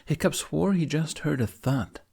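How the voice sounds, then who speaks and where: A man narrating a fantasy adventure audiobook in an expressive, scripted storytelling style. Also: on the high side, measured pace, gravelly, slightly rough.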